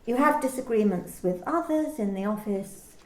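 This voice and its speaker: An actress playing a calm, insinuating female office manager in an amateur radio play. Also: on the high side, normal speed, natural voice. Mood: cool probing. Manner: calm, insinuating, repeats questions. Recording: roomy home recording.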